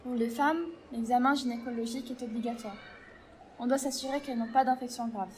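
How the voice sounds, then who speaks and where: A woman playing a grave nurse in a French audio drama, speaking in a measured, sombre way. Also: fairly high pitch, fairly even intonation, darkish tone, a little hiss or hum, lightly acted, poor audio. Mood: firm, instructing. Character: grave nurse reporting on weakened camp survivors.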